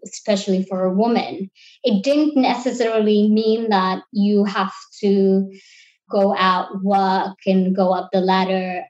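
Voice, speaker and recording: A woman's voice: medium pitch, a little mellow. A woman in her thirties, raised in Sri Lanka, answers interview questions at a reflective, searching pace. She restarts her sentences as she works out what to say. Her voice comes through slightly dull and lo-fi. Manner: thoughtful, searching for words with restarts. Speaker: interview guest.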